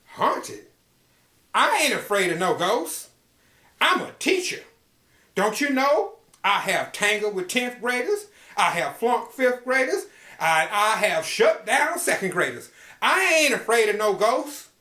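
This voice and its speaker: A man voicing a prim, fearless schoolteacher woman with a high, indignant voice. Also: very animated, unhurried, pushed and bright, echoey room, exaggerated voice. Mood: defiant. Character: bold prim schoolteacher lady, unafraid.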